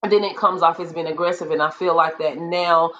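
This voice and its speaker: A woman with a deep voice speaking earnestly and assertively in a casual panel discussion. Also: flat delivery, brisk, a bit bright, dull audio.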